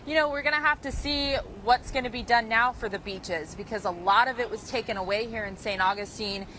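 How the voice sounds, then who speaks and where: A woman's voice: fairly high pitch, fairly quick, sharp tone, rough recording. A woman TV news field reporter giving a conversational, ad-libbed live report from outdoors. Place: outdoors on a beach. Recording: faint outdoor ambient noise.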